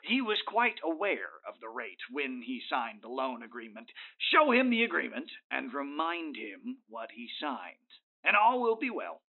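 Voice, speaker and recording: A man playing a scheming, smooth-talking older tycoon in a comedic audio drama, with an unctuous, drawn-out emphatic delivery. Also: medium pitch, sing-song. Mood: smooth, persuasive. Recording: thin, phone-like sound.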